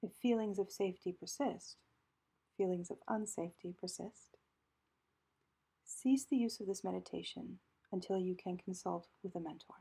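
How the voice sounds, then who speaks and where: Female meditation guide, very breathy and soft, mellow tone, calm measured delivery with pauses. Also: lowish voice.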